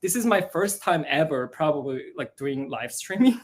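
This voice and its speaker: A man with a Korean accent, a co-writer talking in a casual live stream, hesitant and soft-spoken, often pausing on "uh" and laughing easily. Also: fairly high pitch, very animated. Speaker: co-writer of a musical.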